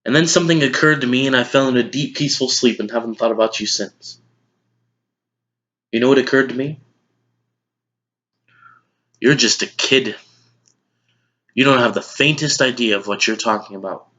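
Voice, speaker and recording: A man playing a calm, quietly cutting older mentor in a measured dramatic monologue. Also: mid-range voice, natural voice. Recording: dull lo-fi audio.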